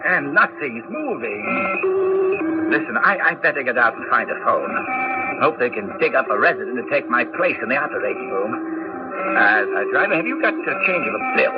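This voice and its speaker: A man acting in a 1980s radio drama as a fretful, hurried hospital doctor who stammers when flustered. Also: mid-range voice, sing-song, over loud music, close to an everyday voice. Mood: anxious, impatient. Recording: dull, noisy old radio broadcast.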